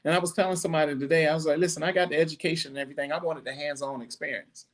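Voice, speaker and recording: A middle-aged man hosting a podcast, giving a blunt, preachy monologue with animated asides. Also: a little high, racing. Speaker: podcast host. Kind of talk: casual monologue.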